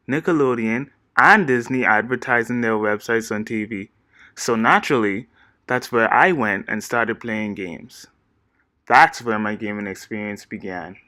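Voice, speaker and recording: A man reads a prepared self-introduction at an earnest, even pace. His voice is bright and forward, and the recording is dry and close with no room sound. He works through long lists steadily. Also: mid-range voice, no room sound. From Bahamas. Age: 20s. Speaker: game designer pitching himself. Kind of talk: read-aloud scripted presentation.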